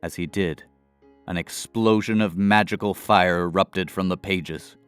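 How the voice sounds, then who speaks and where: A man narrating a scripted fantasy adventure in a dramatic, measured storytelling manner. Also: sits low, steady tone, clean tone, no room sound, soft background music.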